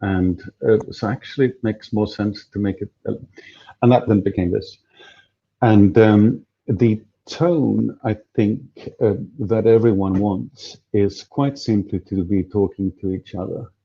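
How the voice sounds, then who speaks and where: A man's voice: on the low side, fairly even intonation, measured pace, vocal fry, slightly rough, lo-fi sound. A man organizing an event, talking casually on a video call, hesitant with many fillers and restarts. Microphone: headphones on a video call.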